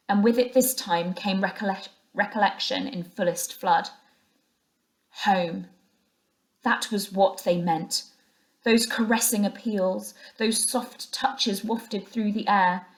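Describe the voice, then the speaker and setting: A woman narrating a children's classic aloud as a teacher would, in an expressive storytelling style. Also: medium pitch, rough recording.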